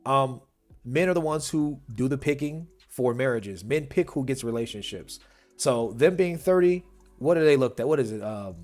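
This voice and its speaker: An opinionated man runs a reaction video and talks through the clip in a loose, casual way. He rambles as he goes and fills the gaps with "you know" and "um." His voice sits at an ordinary middle pitch. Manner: opinionated, rambling with many fillers.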